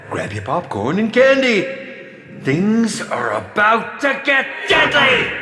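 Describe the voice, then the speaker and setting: A flamboyant, bloodthirsty film director villain, pitched extremely high for a male voice. He sneers with drawn-out theatrical politeness and swings widely up and down, echoing through a long hall as a campy game villain. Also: pushed and bright, heavily acted.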